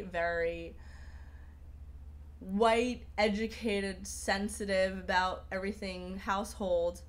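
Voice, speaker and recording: A young woman hosting a casual livestream, rambling through viewer comments with lots of fillers and restarts. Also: mid-range voice. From Persian heritage. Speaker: livestream host.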